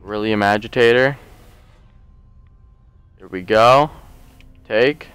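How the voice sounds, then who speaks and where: Casting: relaxed male let's-player, slow and low, slightly hoarse, smooth close dry mic. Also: no room sound, faint music behind. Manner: rambling, jokey, thinks aloud. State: hoarse, losing his voice. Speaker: let's play host. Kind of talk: casual gameplay commentary.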